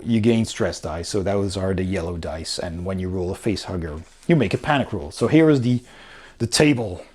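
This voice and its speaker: A man's voice: fairly low pitch, a little mellow. A man reviewing a game rulebook in a casual, explanatory way, talking informally as he goes through it.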